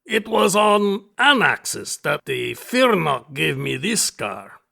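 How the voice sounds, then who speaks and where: An actor playing an alien aristocratic hunting fanatic in an audio drama, gravelly and raspy, with florid, theatrical, deliberate delivery. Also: male voice, fairly high pitch, big pitch swings, sharp tone, exaggerated voice. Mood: boastful, grandiose. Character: alien aristocratic hunting fanatic, obsessive, grandiose, menacing male host. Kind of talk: audio drama villain acting.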